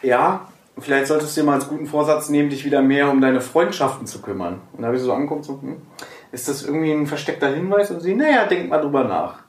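A somewhat high-voiced man from Berlin banters on a casual podcast, chatty and quick to laugh as he teases his co-host. His voice rings in a noticeably echoey room. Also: expressive. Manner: chatty, laughs often, teasing.

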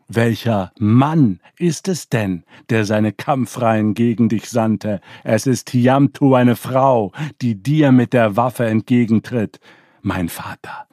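Male reader doing an eager young god-hero, proud declaiming; dark, husky tone. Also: mid-range voice, no echo, studio quality, pitched above the performer's own voice.

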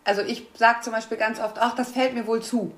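A woman's voice: on the high side, fairly even intonation, fairly quick. A woman from Frisia, a guest in a casual conversation who chats freely and agrees often. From East Frisia, Germany. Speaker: guest, photographer. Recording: roomy echo.

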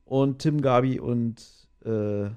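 A man's voice: mid-range voice, slow, a little mellow, clean tone, muffled recording. A man with a mid-range voice talks casually as a podcast host. He retells an audio drama in slangy, colloquial speech, slipping into quoted lines as he goes.

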